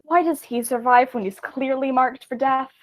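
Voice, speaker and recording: Woman playing a headstrong, high-voiced indignant actress. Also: steady tone, moderate pace, natural voice. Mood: defiant. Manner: indignant, self-assured, emphatic. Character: headstrong, argumentative actress and scornful wife. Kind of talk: theatrical comedy acting.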